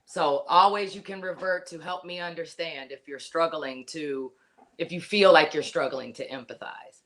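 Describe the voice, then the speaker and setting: A woman's voice: low-pitched, moderate pace, fairly bright tone. A woman therapist explaining in an earnest, casual way, with many "you know"s. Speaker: therapist guest.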